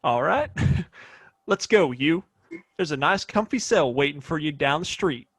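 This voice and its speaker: A man's voice: fairly high pitch, expressive, measured pace, a bit bright, a little hiss or hum. A man playing a bratty young sheriff, a town boss's spoiled son, in a Western audio drama, with whiny, folksy speech. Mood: gloating. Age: young adult.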